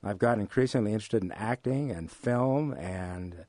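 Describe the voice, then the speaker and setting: A mature American man answers interview questions like a lecturer. His voice sits fairly low, and he explains deliberately, repeating points for emphasis. The sound is dry, with very little room on it. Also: a little mellow, no room sound. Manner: deliberate, professorial, emphatic repetition. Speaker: economics professor, interview guest. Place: radio studio.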